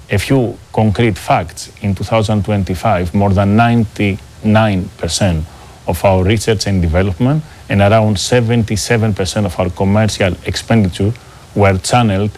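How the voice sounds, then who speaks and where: Middle-aged man, greek accent, lowish voice, formal exec giving polished interview answers. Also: fairly even intonation, normal speed, darkish tone. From Greece. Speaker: business executive, interview guest. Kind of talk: prepared corporate interview answers.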